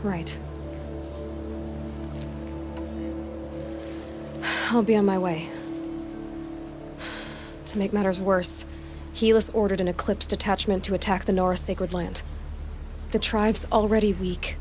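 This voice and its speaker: A woman's voice: mid-range voice, steady tone, moderate pace, pauses often, natural voice. Woman acting a dry, guarded young huntress over music. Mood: dry, exasperated. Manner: dry, guarded, plain-spoken. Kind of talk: video game cutscene acting.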